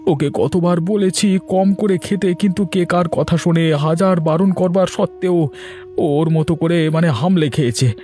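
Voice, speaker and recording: A man's voice: fairly high pitch, normal speed, mellow, lightly acted. A man playing a sarcastic, grumbling poor farmer and long-suffering husband in a cartoon. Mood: distressed, complaining. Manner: sarcastic, complaining. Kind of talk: Bengali cartoon dialogue. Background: background music.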